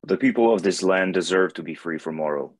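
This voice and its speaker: A man acts out a benevolent god of light for an animated fantasy. The voice is very deep and solemn, with grave, measured phrasing. The sound is dry and close. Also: no echo. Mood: earnest. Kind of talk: animated fantasy script table read.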